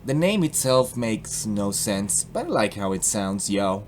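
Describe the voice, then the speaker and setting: A young man with a Colombian accent casually explaining a software tutorial, joking and slipping into put-on accents. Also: on the low side, very animated, unhurried, slight background noise. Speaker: tutorial host.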